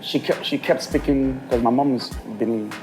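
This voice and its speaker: A man's voice: mid-range voice, steady tone, normal speed, darkish tone, soft background music. A man in his thirties raised in England touched by speech, chatting as a guest and laughing often. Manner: laughs often, mimics others' voices. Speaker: guest musician. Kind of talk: casual chat.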